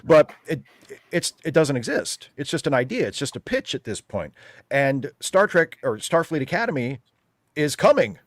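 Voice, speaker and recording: A man's voice: on the high side, sing-song, normal speed, no echo, studio quality. A man commenting casually as a livestream panel guest, making points in emphatic short statements. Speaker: panel guest, video critic.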